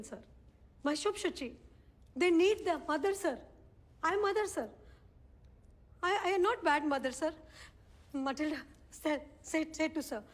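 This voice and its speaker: An actress playing a desperate mother who pleads in broken English in a courtroom, repeating herself. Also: high voice. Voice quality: broken English, repetitive sobbing pleas. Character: desperate Indian mother pleading for her children. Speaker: defendant mother. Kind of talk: film courtroom drama. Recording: echoey courtroom hall.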